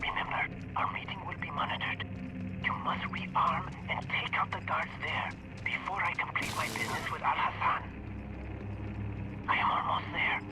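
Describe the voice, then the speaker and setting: A man's voice: a little high, big pitch swings, normal speed, low-quality recording. A man playing a covert agent in a video game, giving clipped, formal instructions to a partner under pressure. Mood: hushed, urgent. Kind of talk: video game voice acting, mission briefing.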